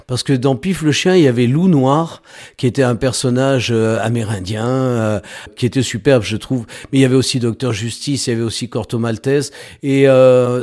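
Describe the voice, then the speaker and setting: A man's voice: medium pitch, darkish tone. Hesitant man in his sixties, thoughtful. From France. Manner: hesitant, many "euh" fillers, slow thoughtful pace. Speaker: guest, author and storyteller. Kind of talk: radio interview answers.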